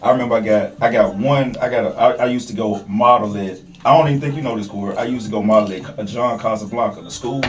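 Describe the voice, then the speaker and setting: Man, fairly low pitch, podcast host, casual slangy chat, halting phrasing, a bit distant from the mic. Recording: slightly distant from the microphone.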